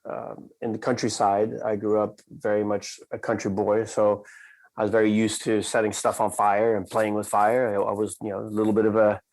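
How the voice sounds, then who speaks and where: Low-voiced Dutch-raised man telling a story. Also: level tone, a little mellow, rough recording. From Netherlands. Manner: measured, reflective, slows for emphasis. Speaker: company cofounder, guest. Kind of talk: conversational storytelling.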